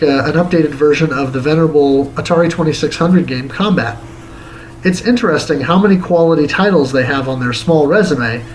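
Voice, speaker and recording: Higher-voiced man narrating a game review. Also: a little hiss or hum, poor audio.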